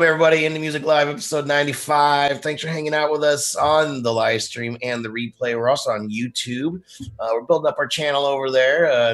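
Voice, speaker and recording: A man's voice: medium pitch, a bit bright. A man hosting a live indie music show, chatting in an informal, joking way. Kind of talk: casual live-stream chat.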